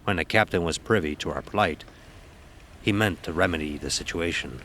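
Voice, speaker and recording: A man's voice: low-pitched, normal speed, pushed and bright, slight background noise. A man narrating a scripted horror story as an old-time sailor, grave and dramatic, with archaic nautical diction.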